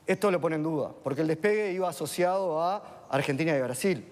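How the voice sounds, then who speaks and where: A man speaks in a measured, explanatory way with a somewhat high voice. He lays out economic points at a steady pace. His voice rings with the long reverb of a studio. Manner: measured, explanatory, with filler tags. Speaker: economist guest on a TV panel. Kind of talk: analytical panel discussion.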